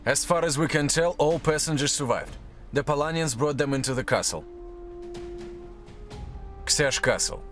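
A man's voice: fairly high pitch, normal speed, pauses often, a bit bright, soft background music, close to an everyday voice. An actor playing a dutiful soldier in a video game, giving matter-of-fact reports to his commander.

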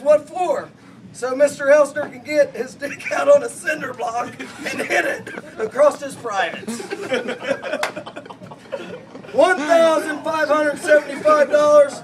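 A middle-aged man giving a rowdy comic wedding toast from cards, shouting and laughing through it, recorded on a distant phone in a crowded party room. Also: very high voice, noisy background. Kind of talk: comic roast-style toast read from cards. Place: indoor party venue, crowded. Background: party crowd chatter and laughter.

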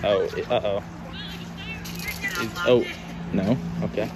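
A man's voice: lowish voice, slow pace. Man, casual game commentary, phone mic, heavy outdoor noise. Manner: chatty, excited running commentary with laughs. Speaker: vlogger filming a camp game. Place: open grassy field outdoors. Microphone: phone camera held by the filmer.

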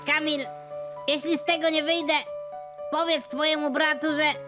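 A bumbling young male crook, played by a woman swaggers in a high, cocky cartoon voice. He talks crudely and with bravado. Light music plays behind. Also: level tone, moderate pace, natural voice. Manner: brash and crude, with swings in pitch. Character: dim-witted young male kidnapper, boastful, panicky.

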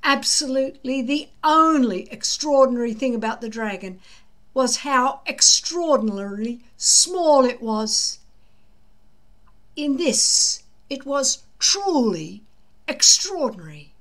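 An older woman reading a children's book aloud slowly and deliberately, with dramatic emphasis. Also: high-pitched, animated, fairly bright tone. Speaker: storybook reader. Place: small indoor room at home.

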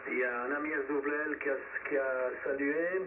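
A man running an amateur radio net over shortwave, speaking in short, encouraging phrases with pauses. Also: medium pitch, fairly even intonation, far from the mic. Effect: shortwave radio transmission. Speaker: net controller of an amateur radio round table. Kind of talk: ham radio net hosting. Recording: narrow, noisy shortwave radio reception. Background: radio static.

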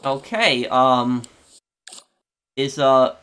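A man hosting a gaming video with casual, halting commentary, often repeating his words. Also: mid-range voice, lively intonation, fairly bright tone, clean tone.

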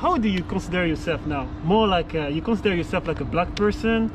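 A French man in his thirties hosting an outdoor interview, speaking halting English with restarts and short "mm-hmm" replies. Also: high voice. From France. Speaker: host, interviewer. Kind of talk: casual interview. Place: outdoors on a city plaza. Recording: street noise outdoors.

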